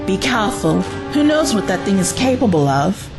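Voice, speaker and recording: A woman's voice: mid-range voice, sing-song, moderate pace, music in the background. A woman acting an anxious, alert young adventurer's companion in an audio drama, urgent and exclamatory. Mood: wary.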